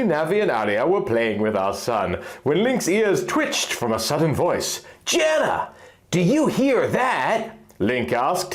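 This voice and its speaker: A man narrating a scripted fantasy story in an earnest, theatrical manner. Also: mid-range voice. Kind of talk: dramatic scripted fan-fiction narration.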